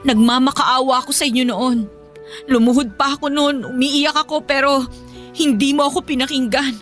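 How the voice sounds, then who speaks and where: A woman's voice: high voice, steady tone, moderate pace, a bit bright. A woman playing a long-suffering, principled mother in a radio drama, speaking in an earnest, emotional way. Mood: hurt, reproachful. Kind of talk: Filipino radio drama acting. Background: music.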